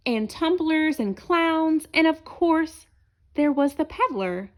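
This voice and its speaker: A woman reading a children's story aloud in an expressive narrating style, as if to young listeners. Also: sits high, slow. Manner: asks listeners questions as she reads. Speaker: storybook reader.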